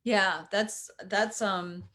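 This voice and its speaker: Bubbly bright-voiced woman host. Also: mid-range voice, fairly even intonation, very slow. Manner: bubbly, laughing often. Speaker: interview host, coach. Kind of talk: casual interview talk.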